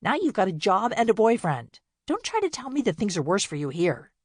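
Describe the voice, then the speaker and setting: A woman's voice: mid-range voice, big pitch swings, a little fast, slightly rough, no echo, pitched above the performer's own voice. A woman voicing a proud, bitter older sister who talks defiantly and mockingly. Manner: defiant, mocking, sharp-tongued.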